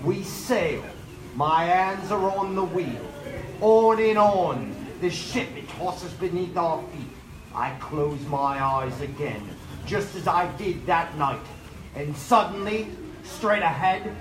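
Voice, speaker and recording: An actor playing a doomed, menacing ghost of a sea captain in live old-time radio horror style, speaking portentously with dramatic pauses. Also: male voice, on the high side, lively intonation, background noise. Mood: grim, haunted. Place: on stage in a theater. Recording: echoey live theater, loud music behind.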